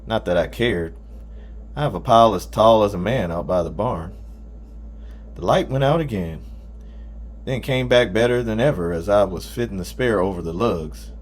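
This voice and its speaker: A man with a fairly low voice narrates a story in the first person. He reads as an audiobook narrator, telling it from a script. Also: normal speed, long pauses, a little hiss or hum.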